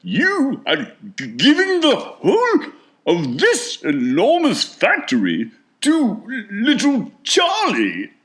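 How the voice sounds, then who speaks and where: An old grandfather stammers in disbelief, as a woman puts him on. His words come slowly, with a wide swing of pitch on each question. It is a storybook reading. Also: wildly swooping pitch, fairly bright tone, exaggerated voice. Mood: incredulous. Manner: incredulous, stammering. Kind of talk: storybook read-aloud.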